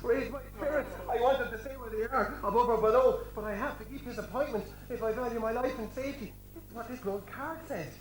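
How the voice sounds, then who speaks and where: A man's voice: very high-pitched, fast, few pauses, crowd noise. A man playing a cowardly, nervous royal servant in an amateur stage pantomime, stuttering, exclaiming and repeating himself. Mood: dreading. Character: jittery, cowardly, food-loving royal servant called Windbag. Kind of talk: broad amateur pantomime acting. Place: hall stage. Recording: echoey stage recording.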